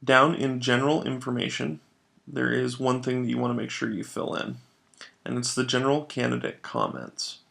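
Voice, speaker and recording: A man narrating a step-by-step software tutorial as a trainer, explaining each step in an instructional way. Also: mid-range voice, moderate pace, creaky.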